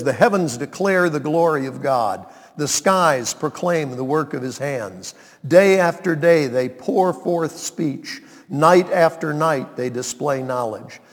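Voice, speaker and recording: A man, preaching a sermon and reading scripture, deliberate and emphatic. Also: a little high. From North Carolina, US. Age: 90s. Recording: long reverb of a large hall.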